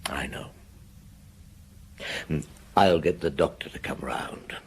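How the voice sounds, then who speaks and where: An actor playing a patient, shrewd, mature police chief inspector in a radio drama, measured and understated. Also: male voice, extremely low pitch, gravelly, breathy edge, whispered, heavily acted. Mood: gentle, probing. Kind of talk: radio drama acting with first-person narration.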